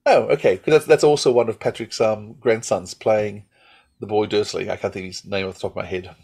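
Middle-aged man, slightly husky voice. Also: lowish voice, steady tone, darkish tone. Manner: halting, thinking aloud. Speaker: podcast co-host. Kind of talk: casual fan chat. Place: small room at home, indoors.

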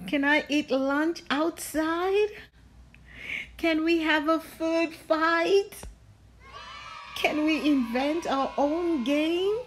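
A woman's voice: high voice, very slow, long pauses, a little hiss or hum. A woman reading a storybook aloud to young children as host, in a playful, affectionate sing-song manner.

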